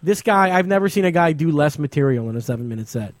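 A man's voice: a little high, expressive, fast talker, no room sound, clean studio sound. A man who works as a comedian, co-hosting a talk show in casual, conversational shop talk.

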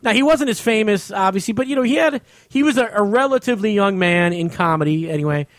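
A man's voice: high-pitched, lively intonation, quick pace, no room sound. A man co-hosting a comedy podcast, talking in casual, conversational banter with a fellow comedian. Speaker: podcast co-host and stand-up comedian.

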